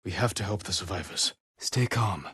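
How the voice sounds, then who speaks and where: An actor playing an honourable, stoic samurai warrior in a video game, speaking in a measured, solemn way with short, clipped sentences. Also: male voice, deep voice, pushed and bright, husky, no echo, studio quality, full character voice. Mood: calm, resolute. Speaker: video game lead character. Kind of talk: dramatic video game voice acting.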